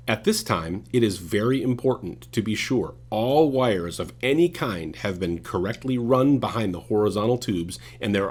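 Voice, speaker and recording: A man narrates a scripted how-to video in a lowish, raspy voice. His delivery is methodical and directive, taking instructions step by step, with lively movement in pitch.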